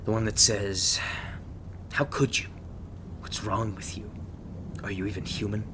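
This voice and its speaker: A low-pitched, gruff veteran monster hunter, acted out by a man for an intimate audio roleplay. He is blunt and emotionally closed off, talking haltingly with dry sarcasm. A clear rumbling noise like a storm sits behind the voice. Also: little pitch movement, natural voice. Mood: detached, bleak. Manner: blunt, halting, sardonic, sighing between thoughts. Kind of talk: ASMR roleplay, intimate one-sided dialogue. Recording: noisy, rumbling thunderstorm-like background sound.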